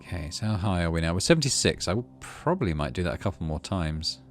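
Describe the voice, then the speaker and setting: Low-voiced man musing through a game. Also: sing-song, fast talker, faint music behind. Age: middle-aged. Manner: musing, thinking aloud. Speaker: gaming video host. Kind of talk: casual let's play commentary and story narration.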